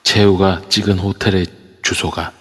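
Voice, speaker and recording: A man narrates a spoken drama in a steady, storytelling way. His voice is very deep and husky. It carries long reverb through a phone voice chat. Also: normal speed. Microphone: phone in an online voice room.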